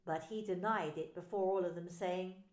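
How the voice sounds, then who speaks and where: A woman minister narrating scripture in an expressive, deliberate way. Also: fairly low pitch. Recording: echoey room.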